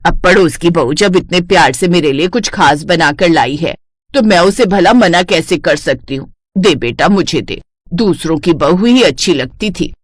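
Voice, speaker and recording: A woman's voice: fairly low pitch, expressive, normal speed, dry recording, clean studio sound, pitched below the performer's own voice. A woman voicing a complaining older mother-in-law in a cartoon family story. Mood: pleased, complaining. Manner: complaining, nagging. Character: scolding older mother-in-law, grudging and quick to praise others.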